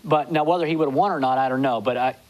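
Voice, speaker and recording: Man guest analyzing horse races. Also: mid-range voice. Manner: talks in quick bursts with many restarts and "you know"s. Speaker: guest horse racing handicapper. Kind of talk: studio interview. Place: TV studio with city skyline backdrop. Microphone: lapel mic.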